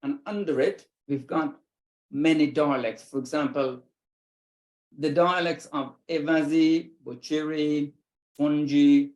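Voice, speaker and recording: A man from Iran whose first language is Lari, speaking English with an Iranian accent as a linguistics researcher presenting at an online academic forum. He explains in steady phrases with pauses between them. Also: fairly high pitch, roomy sound. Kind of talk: academic conference talk. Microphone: video call.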